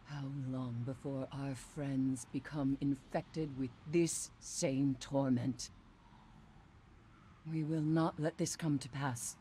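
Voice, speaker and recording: An actress playing a grave, haunted warrior-scholar in a video game cutscene, deliberate, foreboding and resolute. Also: female voice, low voice, warm tone, slight background noise. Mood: grim resolve. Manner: grave, deliberate, dropping toward whispers.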